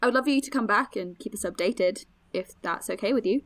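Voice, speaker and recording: A woman's voice: medium pitch, brisk, close to an everyday voice. A woman playing an eager, friendly young podcast host in an audio drama, chatty, encouraging and inquisitive.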